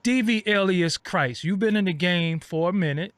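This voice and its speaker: A man's voice: high voice, normal speed, fairly bright tone, dry recording. A man hosting an online interview, chatting casually with lots of fillers and street slang. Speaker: host.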